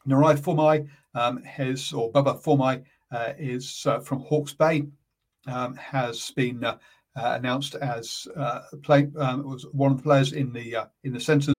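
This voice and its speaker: A calm New Zealand man talks through rugby news in a casual live stream, pausing often with ums and uhs. His voice is husky and a little breathy, set at a middle pitch for a man. He sounds easygoing and soft-spoken. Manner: halting, many ums and uhs. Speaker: rugby podcast host.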